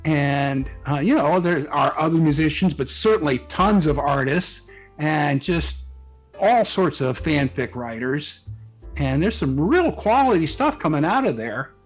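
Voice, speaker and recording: A man's voice: on the high side, lively intonation, unhurried, soft background music, poor audio. A man speaking casually as an interview guest, in a hesitant, rambling way. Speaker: interview guest, fan fiction author and hobby musician.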